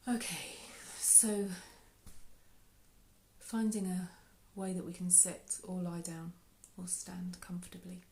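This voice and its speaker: A woman leading a guided meditation in a soft, unhurried, soothing way, with phrases trailing off into breath. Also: on the low side, fairly even intonation, gravelly. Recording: roomy, echoey sound.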